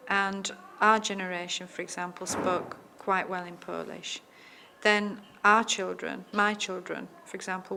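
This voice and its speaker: A middle-aged woman, a teacher at a Polish school, speaking in a measured, matter-of-fact way in an interview. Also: mid-range voice, creaky, pushed and bright, slight background noise. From England. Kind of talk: oral history interview.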